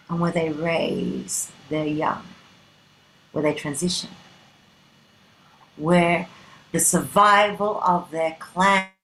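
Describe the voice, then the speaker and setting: A woman narrating a play in a dramatic scripted style, breaking it into short, deliberate phrases. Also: on the low side, fairly bright tone, slight background noise, poor audio.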